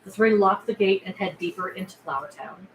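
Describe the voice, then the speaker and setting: A woman's voice: medium pitch, a little fast. A woman reading stage directions aloud as scripted narration at a table read. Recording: echoey room, faint background noise.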